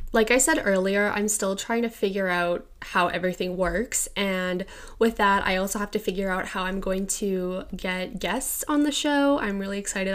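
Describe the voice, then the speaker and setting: A woman of twenty hosting her first solo podcast episode from a quiet room at home. She talks in an excitable, gushing way, full of "like" and "literally". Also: medium pitch. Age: 20s. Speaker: podcast host. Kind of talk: casual solo podcast chat. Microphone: home podcast microphone.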